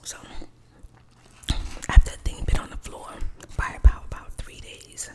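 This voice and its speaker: Middle aged lady whispering a story asmr style, breathy, super casual and chatty wording. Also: unhurried.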